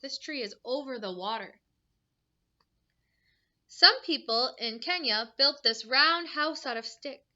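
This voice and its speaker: Very bright-voiced woman reading to children. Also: high voice, expressive, slow pace, pauses often, muffled recording. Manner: instructive, questioning, addressing young children. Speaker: teacher reading aloud to children. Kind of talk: children's picture book read-aloud with explanatory asides.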